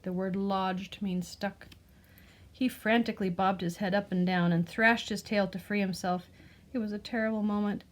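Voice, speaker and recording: A woman's voice: mid-range voice, steady tone, moderate pace. A woman reading a children's picture book aloud expressively, like a teacher, with explanatory asides and questions to the listener. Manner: explains words and asks the listener gentle questions.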